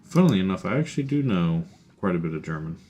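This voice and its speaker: A man's voice: low voice, big pitch swings, quick pace, dark tone. A young man streaming a game, chatting casually and thinking aloud with fillers and restarts. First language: English. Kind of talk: casual gaming stream chat. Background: faint music and keyboard typing.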